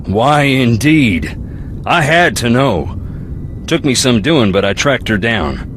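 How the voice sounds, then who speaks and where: An actor playing a brooding, philosophical young ghost hunter in a video game, with a Southern US accent and a wry, folksy drawl. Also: male voice, mid-range voice, very animated, slow, fairly bright tone, slight background noise. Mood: wistful, wry. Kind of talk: video game character dialogue.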